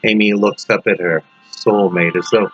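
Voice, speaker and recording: A man narrating a scripted gothic horror story as its author, in a dramatic, deliberate manner with drawn-out words. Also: on the low side, fairly even intonation, slightly rough. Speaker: author reading own story. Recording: dull lo-fi audio under loud music. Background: loud music.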